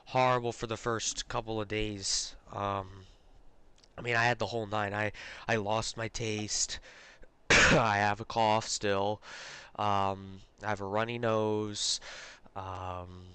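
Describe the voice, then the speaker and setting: A man's voice: on the low side, flat delivery, takes it slowly, sharp tone, clean tone, no echo. A man hosting a live stream, congested from illness, chatting casually with lots of fillers and restarts. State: congested, recovering from a cold. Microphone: close desk mic.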